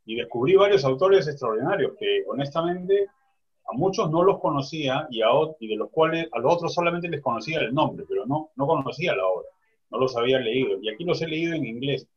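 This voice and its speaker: A man's voice: medium pitch, animated, fairly quick, husky, highs cut off. A man talking reflectively in a panel discussion over a video call, often restarting his phrases. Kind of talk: casual discussion.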